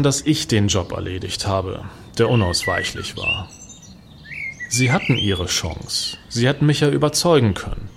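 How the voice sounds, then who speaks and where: A man's voice: mid-range voice, lightly acted. A man playing a self-righteous political action artist in a satirical radio play, pompous and self-justifying in manner. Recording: clear background noise with birdsong.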